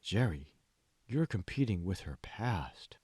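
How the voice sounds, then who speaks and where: Guy doing a sly calm manipulator for an audiobook, low and slow, kinda hushed, condescending, super dry sound. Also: husky, dry recording, heavily acted. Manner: slow, deliberate, probing questions, hushed. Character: calm, manipulative male mentor, slyly seductive and condescending. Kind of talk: dramatic audiobook dialogue.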